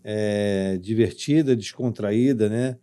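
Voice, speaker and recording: A man hosting an interview as a therapist, asking gentle questions and prompting with short affirmations. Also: fairly low pitch, slow, smooth, no room sound, clean studio sound. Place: TV studio.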